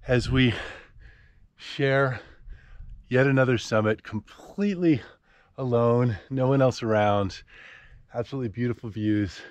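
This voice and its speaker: A man's voice: medium pitch, unhurried, long pauses, no room sound. A young man narrating a hiking vlog outdoors on a mountaintop in a dry, wry, understated way, recorded on an action camera. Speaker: vlogger hiker. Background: background music.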